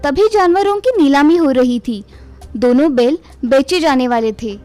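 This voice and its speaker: A woman's voice: high voice, animated, moderate pace, mellow, faint music behind. A woman narrating a scripted moral story for children.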